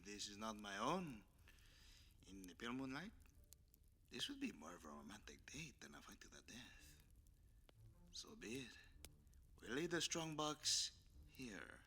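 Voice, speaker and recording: Audio roleplay: flirtatious masked Spanish vigilante, very bright, sharp voice, Spanish accent, cocky banter, lively swings in pitch. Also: male voice, full character voice. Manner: theatrical, bantering. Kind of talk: ASMR roleplay audio drama.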